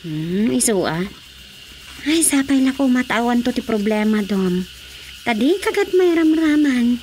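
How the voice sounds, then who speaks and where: A high-pitched village woman, chatty and full of concern. She speaks in a radio drama comedy style. Insects chirp in a slightly noisy background. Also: lively intonation, measured pace, darkish tone. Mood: chatty, worried.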